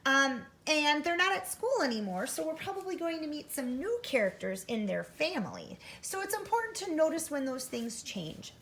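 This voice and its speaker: A woman's voice: high voice, very animated, moderate pace, few pauses, a bit bright, slight background noise. An American woman teacher doing an interactive read-aloud for first graders, addressing young listeners directly and instructively. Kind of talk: interactive children's story read-aloud with comprehension questions.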